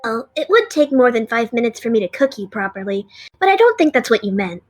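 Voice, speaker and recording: A woman's voice: a little high, sing-song, brisk, faint music behind. A woman voicing a childish 200-year-old young dragon with a baby voice, cheerful about eating her guests, in a fan audio drama. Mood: playful.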